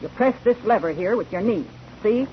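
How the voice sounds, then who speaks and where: A woman's voice: medium pitch, expressive, moderate pace, slight background noise, natural voice. An actress playing an indignant, huffy landlady, fussy and complaining, in 1940s radio style. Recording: dull radio recording, crowd behind.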